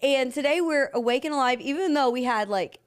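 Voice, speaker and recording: A woman in her early forties co-hosting a casual podcast chat, upbeat and quick to laugh. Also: high-pitched, smooth. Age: 40s. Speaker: podcast co-host.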